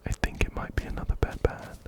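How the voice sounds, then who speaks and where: A man whispering softly and breathily in an ASMR gameplay commentary, close up to a binaural microphone, unhurried and often repeating his words. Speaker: ASMR gaming video host. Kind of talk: ASMR let's play commentary. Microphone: close-up binaural microphone, ear to ear.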